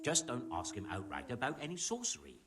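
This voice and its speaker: A man's voice: medium pitch, wildly swooping pitch, fairly quick, distant mic, over music. An actor playing a wary, grumbling middle-aged medieval tradesman in a video game, speaking in a guarded way. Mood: conspiratorial. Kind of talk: video game dialogue acting.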